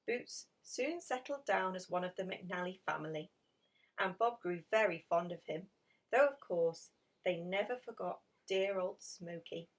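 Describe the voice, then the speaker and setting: A woman reading a children's picture story aloud in an expressive, dramatic storytelling manner. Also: fairly low pitch, unhurried, far from the mic. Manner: animated, dramatic emphasis with hushed passages. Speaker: storybook reader.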